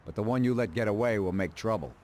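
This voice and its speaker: A man playing a calm, calculating middle-aged mob advisor in a video game, speaking in a dry, deliberate, matter-of-fact way. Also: on the low side, close to an everyday voice. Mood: stern, dry. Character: calm, calculating male mafia consigliere, drily ruthless.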